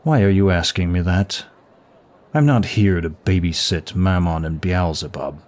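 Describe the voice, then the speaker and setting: A man's voice: low voice, measured pace, a little mellow. A man playing a smug, seductive demon of lust in an intimate ASMR roleplay, with suave, teasing and formal flirtatious phrasing. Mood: wry, bored.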